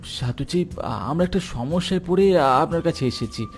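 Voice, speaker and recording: A man voicing an anxious young villager in an animated horror story, hesitant and deferential, with a stammer. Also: fairly high pitch, moderate pace, creaky. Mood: pleading. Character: anxious young village man seeking a holy man's help. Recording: music under the voice.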